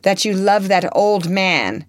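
A woman's voice: lowish voice, animated, a little slow, darkish tone, no room sound. A woman voicing a passionate, heartbroken young man pleading in love, in a storybook reading. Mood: fierce. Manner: pleading, impassioned.

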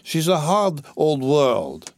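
Man reading as a drunk, giggly rich boy, slurring and lurching, higher and louder than his narration, dry close sound. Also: wildly swooping pitch, slow pace, no room sound, exaggerated voice. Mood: tipsy. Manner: slurred, laughing, lurching speech. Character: drunk rich young man, about eighteen, merry.

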